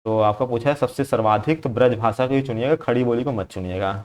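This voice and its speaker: Young male tutor, mid-pitched voice, chatty, repeats points and checks in often. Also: quick pace, a little mellow. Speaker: exam prep teacher. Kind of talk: explaining exam questions to viewers.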